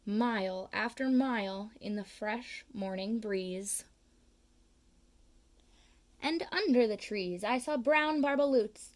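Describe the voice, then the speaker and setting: A woman reads a picture book aloud to children in a slow, unhurried way. Her voice sits a little high, and it leaps up on the words she wants to stress. She reads each line with storyteller care. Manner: slow, expressive reading with big pitch swings on key words.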